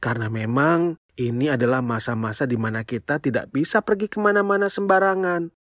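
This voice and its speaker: A storyteller telling a children's tale in an expressive, playful way, speaking straight to young listeners with exclamations and questions. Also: medium pitch, fairly quick, no room sound, studio quality. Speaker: children's storyteller, show host.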